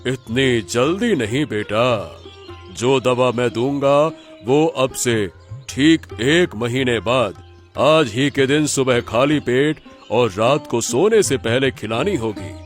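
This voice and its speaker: Cartoon holy man played by a male actor: unhurried, assured, fatherly remedy seller, faint music underneath. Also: medium pitch, close to an everyday voice. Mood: assured, pompous. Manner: slow, assured, fatherly address. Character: smooth-talking holy man selling miracle remedies. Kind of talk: Hindi cartoon moral story acting.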